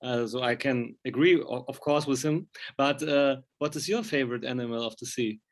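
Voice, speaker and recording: A man asking casual interview questions in halting, English. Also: mid-range voice, fairly even intonation. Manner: halting, non-native English phrasing.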